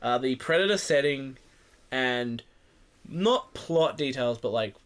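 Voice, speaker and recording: A man's voice: medium pitch, expressive, slow, a bit bright, clear, resonant tone. A young man hosting a casual podcast chat about film news, with a rambling, jokey, sweary manner. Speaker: podcast host.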